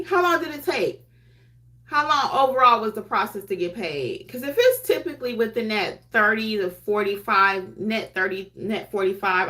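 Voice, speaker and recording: A woman hosting a livestream in casual, candid chat. She speaks softly, with her quiet voice on, and uses easy slang as she answers viewer comments. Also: mid-range voice. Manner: candid and chatty, with colloquial slang. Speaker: notary trainer hosting a livestream.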